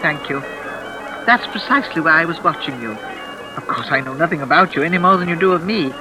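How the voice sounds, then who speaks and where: An actress playing a lonely, mysterious old gentlewoman in a 1940s radio suspense play, formal, precise and insistent. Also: low voice, animated, fast, poor audio. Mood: courteous, probing. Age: older. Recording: vintage radio drama with music behind.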